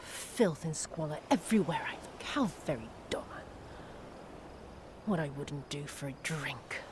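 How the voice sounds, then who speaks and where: An actress playing a cruel, haughty imperial viceroy in a video game, speaking in a theatrical, mocking, imperious way. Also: female voice, deep voice, animated, unhurried, noisy background. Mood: weary disdain. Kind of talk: dramatic video game cutscene acting.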